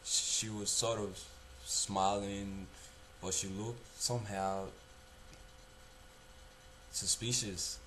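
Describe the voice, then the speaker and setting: A man performs a haunted young man confessing his memories. The delivery is very slow, halting and subdued, in a fairly low voice with faint noise behind it. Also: level tone, fairly bright tone, low-quality recording. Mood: guilty, troubled. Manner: halting, subdued, repeats himself. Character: guilt-haunted young man recalling a dead acquaintance. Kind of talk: confessional dramatic monologue.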